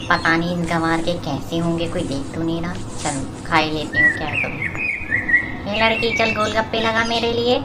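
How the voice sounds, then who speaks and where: A woman's voice: fairly low pitch, moderate pace, lightly acted. A woman playing a haughty, scheming young woman who talks with scolding contempt in a moral story. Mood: grudging. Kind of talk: acted moral story for cartoon. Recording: clear background noise with birdsong.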